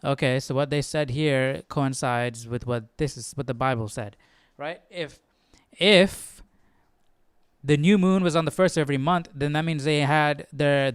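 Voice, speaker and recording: Clear-voiced man casually thinking aloud. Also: fairly high pitch, dry recording, studio quality. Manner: tentative, self-questioning, often tags sentences with "right?". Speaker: host of a Bible study livestream.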